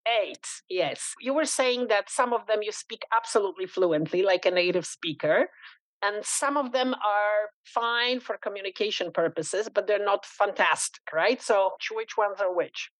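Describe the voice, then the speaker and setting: A woman's voice: mid-range voice, moderate pace, a bit bright, no echo, poor audio. A woman hosting an interview, asking chatty, encouraging questions. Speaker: host.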